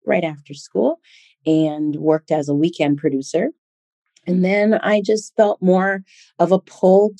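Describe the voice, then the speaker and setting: A woman in her forties with a low, mellow voice answers interview questions in a reflective way, pausing on frequent ums. The sound is dry and close to the mic. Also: dry recording. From Liberia, raised in Minnesota. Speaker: teacher and consultant, guest. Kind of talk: interview storytelling.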